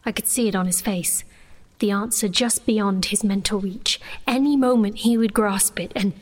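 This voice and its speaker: A woman playing a sheltered young sorceress, anxious and stubborn, in an intimate, breathy dramatized first-person fantasy story. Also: mid-range voice, moderate pace, close to an everyday voice. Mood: breathless, tense. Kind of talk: dramatized first-person audio fiction narration.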